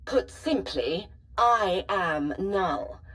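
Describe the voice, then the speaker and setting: A woman playing a formal, measured artificial personality stored in an ancient archive, precise and helpful, in a video game. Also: mid-range voice, lively intonation, a bit bright, low-quality recording. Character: calm, formal female personality matrix of a Sith holocron. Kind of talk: scripted video game voice acting.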